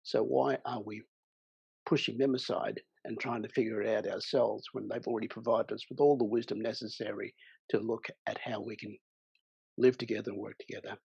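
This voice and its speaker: A man's voice: fairly low pitch, steady tone, fairly quick, gravelly, a little mellow, breathy edge, no room sound. An older man speaking as a panelist in a video-call discussion, reflective and earnest. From Australia. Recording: muffled video call audio.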